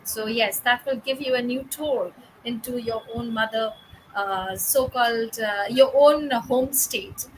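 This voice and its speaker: A woman's voice: on the high side, a little slow, slight background noise, rough recording. A woman moderating a panel discussion, asking long, formal questions and handing the floor to each panelist.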